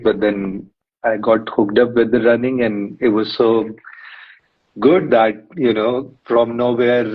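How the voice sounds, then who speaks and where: Man, lowish voice, rambling guest, muffled lo-fi stream audio. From India. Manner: rambling storyteller, many "you know" fillers. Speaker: guest, runner and psychologist. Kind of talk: live-stream interview.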